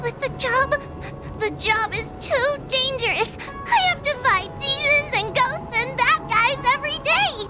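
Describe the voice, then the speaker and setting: A very high, fragile girl's voice for a video game ghost hunter. She is shy and full of self-doubt, speaking slowly in a tearful whimper over clearly audible music. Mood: despairing, complaining. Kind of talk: video game cutscene acting.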